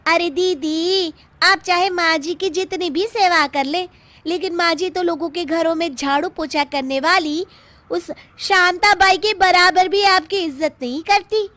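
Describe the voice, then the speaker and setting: A woman voicing a sly young daughter-in-law in an animated comic story, gossipy and exaggerated. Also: very high voice, unhurried, dry recording, exaggerated voice. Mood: sly gossip. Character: sly young scheming daughter-in-law who stirs up trouble.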